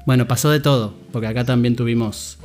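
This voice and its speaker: A man's voice: medium pitch, steady tone, fast, a little mellow, faint music behind. A man with an Argentine accent hosting a podcast, talking in a friendly, rambling way with many fillers and restarts. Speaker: podcast host. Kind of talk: casual interview chat.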